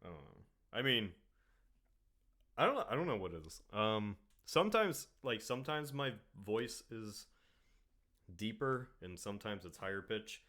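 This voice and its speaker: A man's voice: lowish voice, big pitch swings, unhurried, sharp tone. A man hosting a casual podcast chat, drawling and trailing off into mumbles. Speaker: podcast host.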